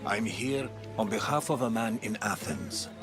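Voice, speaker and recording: An actor playing a worldly ancient Greek storyteller in a video game drama, speaking in a grave, deliberate manner. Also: male voice, lowish voice, animated, over music. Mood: grave, guarded.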